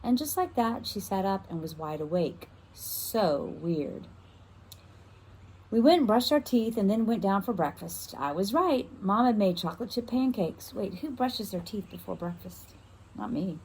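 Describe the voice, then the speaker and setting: A woman reads a children's first-person novel aloud in an expressive storytelling style, as a teacher would. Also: mid-range voice, moderate pace, slightly rough, poor audio.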